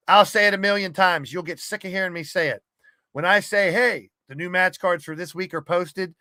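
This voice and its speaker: Man, gaming podcaster. Forceful, slightly high voice, blunt and loose casual delivery, dry recording. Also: dry recording. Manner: blunt, sweary, rambling. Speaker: podcast host and wrestling game streamer. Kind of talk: casual monologue to an online community.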